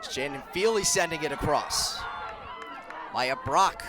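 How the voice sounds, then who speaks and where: A man's voice: fairly high pitch, measured pace. An American man in his twenties calling live play-by-play for a sports broadcast with a projected announcer delivery. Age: 20s. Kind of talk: live lacrosse television commentary. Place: outdoor stadium broadcast position. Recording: stadium crowd and outdoor noise. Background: crowd and stadium noise.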